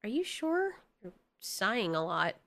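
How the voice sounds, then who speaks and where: A woman's voice: medium pitch, big pitch swings, unhurried, a bit bright. A woman voicing an anxious, caring female giant moth with an earnest, hesitant, pleading manner in an audio story. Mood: concerned.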